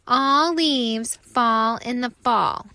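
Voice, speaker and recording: A woman voicing a chorus of smug autumn leaves in a storybook, loud, drawn-out and mocking. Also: on the high side, dry recording, full character voice. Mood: taunting.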